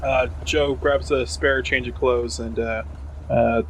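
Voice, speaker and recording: A man's voice: mid-range voice, steady tone, slow, a little hiss or hum. A man chatting as a player in a tabletop role-playing game, hesitating and repeating his words. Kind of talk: casual tabletop role-play chat.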